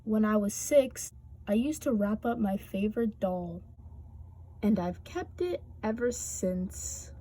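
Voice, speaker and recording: A woman's voice: medium pitch, expressive, takes it slowly, dark tone. Woman playing a firm, caring storybook mother. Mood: gentle, reminiscing. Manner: motherly, emphatic.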